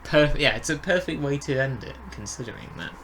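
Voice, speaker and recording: A man chatting casually as a podcast reviewer, in a thoughtful, halting way. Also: medium pitch, lively intonation, fairly bright tone. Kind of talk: casual chat reviewing an audio drama.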